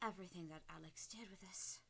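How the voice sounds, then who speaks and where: A woman voicing Lizzie, an exhausted young woman who speaks in a breathy whisper. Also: on the low side, fast talker, pushed and bright, slight background noise. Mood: weary.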